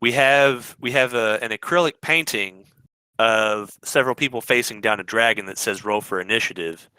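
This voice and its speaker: A man's voice: medium pitch, moderate pace, pushed and bright, smooth, no room sound, low-quality recording. A man chatting casually as a guest on a podcast. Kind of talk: casual chat.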